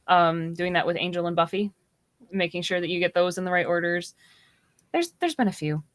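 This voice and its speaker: A woman chatting casually as a podcast guest, telling things in an expressive, conversational way. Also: lowish voice, a little fast, dry recording. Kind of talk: casual chat.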